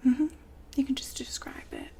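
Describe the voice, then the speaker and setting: A woman whispering softly and politely in an ASMR customer-service roleplay, breathy and gently hesitant. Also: high-pitched, huge pitch swings. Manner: soft-spoken, polite, unhurried, gently hesitant. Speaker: ASMR roleplay performer as a shop consultant.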